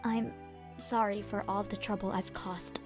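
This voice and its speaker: A woman's voice: medium pitch, little pitch movement, unhurried, soft background music, natural voice. A woman playing a timid, apologetic young mother in a manga dub, hesitant and humble. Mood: meek, hesitant. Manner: hesitant, meek.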